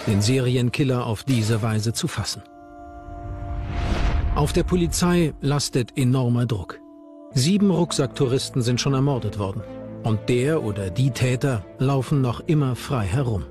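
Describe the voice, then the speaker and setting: A man reading scripted documentary narration as the narrator. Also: mid-range voice. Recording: music under the voice. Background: background music.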